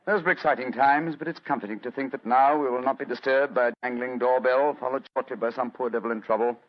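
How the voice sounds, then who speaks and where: An actor playing an aging, retired British detective with a keen, commanding, theatrical manner in an old radio drama. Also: male voice, mid-range voice, fairly even intonation, fast, natural voice. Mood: wry, reflective. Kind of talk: 1940s radio acting. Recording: dull old radio recording.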